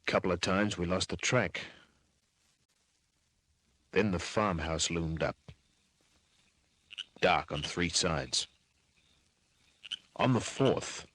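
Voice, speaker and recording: Tough aussie radio detective, rough bright-edged voice, clipped slangy narration, really close dry sound. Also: male voice, deep voice, takes it slowly, long pauses, no room sound, heavily acted, rough recording. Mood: terse, wary. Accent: Australian. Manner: terse, clipped, slangy delivery. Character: tough, wisecracking hardboiled male private detective narrating his case. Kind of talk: old-time radio crime drama, first-person narration.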